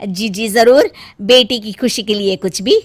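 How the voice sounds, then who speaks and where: A woman voicing a bossy, gullible older mother-in-law in an animated family story. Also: medium pitch, unhurried, noisy background, natural voice. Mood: eager.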